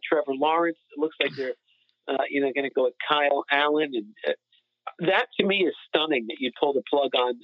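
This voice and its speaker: A man's voice: medium pitch, no room sound. A man giving emphatic, opinionated analysis in a casual sports podcast discussion. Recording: phone-like narrow-band sound.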